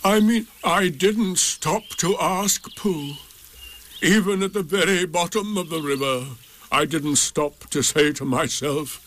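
A man voicing a gloomy, sardonic old donkey in a storybook, with a ponderous, gruff, aggrieved delivery. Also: high voice, fairly bright tone, a little hiss or hum, voice pushed up, exaggerated voice. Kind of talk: storybook character reading.

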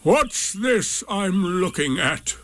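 A man voicing a gloomy, scornful old donkey in a storybook, with slow and solemn pronouncements. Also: sits high, expressive, a bit bright, pitched above the performer's own voice, heavily acted. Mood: solemn, ponderous.

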